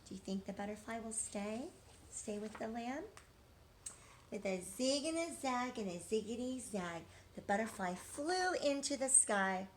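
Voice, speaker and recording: A woman's voice: fairly high pitch, animated, measured pace, a little hiss or hum. A middle-aged woman teacher reading a picture book aloud to young children, expressively, with questions to her listeners. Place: living room couch at home.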